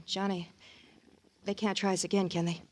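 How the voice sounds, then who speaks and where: An actress playing a scornful, hard-boiled murderous wife, taunting and sardonic, in 1950s radio crime drama. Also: female voice, mid-range voice, flat delivery, normal speed, natural voice. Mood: hard, wary.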